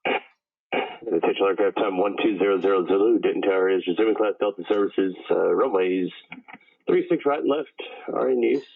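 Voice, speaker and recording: A man's voice: sits low, moderate pace, gravelly. A man working as a tower controller, giving routine procedural air traffic instructions over the radio. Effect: radio transmission. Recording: tinny, phone-like radio channel.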